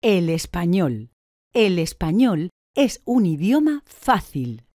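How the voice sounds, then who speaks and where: Slow, mellow woman answering drills. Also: on the low side, sing-song, dry recording, studio quality. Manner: slow, deliberate, clearly articulated answers. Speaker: language course speaker.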